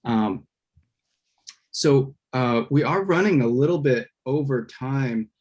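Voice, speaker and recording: Male moderator on a video call, halting and filler-heavy, mid-range pitch. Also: darkish tone. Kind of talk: online panel moderation.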